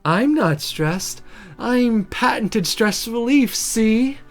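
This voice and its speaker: A smug succubus demon in a visual novel , played by a woman. She teases in a flirty drawl with lively swings of pitch, over faint music. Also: slow.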